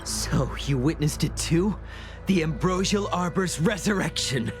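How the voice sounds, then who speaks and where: A man's voice: a little high, measured pace, close to an everyday voice. An actor playing a fanatical, unhinged cultist in a video game, gloating theatrically and savoring his words. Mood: fervent awe. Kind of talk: video game character acting. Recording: music behind.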